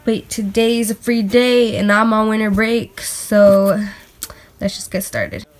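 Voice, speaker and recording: A woman giving a casual, unscripted product review in a hesitant, halting, informal way, recorded on a handheld device in a bedroom. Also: medium pitch. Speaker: sneaker reviewer. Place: bedroom at home. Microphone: handheld phone or camera. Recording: clicks and light background noise.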